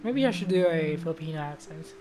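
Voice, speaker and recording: A woman's voice: deep voice, expressive, fairly quick. A woman game streamer reading a visual novel aloud in a casual, sweary manner, with guitar music behind her. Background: loud guitar music.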